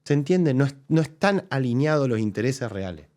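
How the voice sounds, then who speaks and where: A man's voice: mid-range voice, moderate pace. A man with an Argentine accent hosting a live show, arguing his points in casual commentary with frequent restarts. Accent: Argentine Spanish. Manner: animated, argumentative, thinks aloud with restarts. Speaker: political commentator host. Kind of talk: casual commentary reacting to a press conference.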